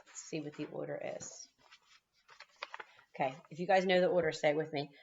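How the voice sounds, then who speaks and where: Lowish-voiced woman reading to kindergartners. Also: steady tone, a little fast, creaky, darkish tone, muffled recording. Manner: explanatory, addressing young children. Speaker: kindergarten teacher. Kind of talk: storybook read-aloud with asides to children.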